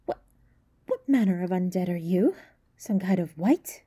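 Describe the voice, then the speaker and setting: A woman playing a proud, stern young elf soldier with a husky, dark voice and a formal, commanding manner in an audio roleplay. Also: on the low side, animated, measured pace, no room sound, clean studio sound. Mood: challenging.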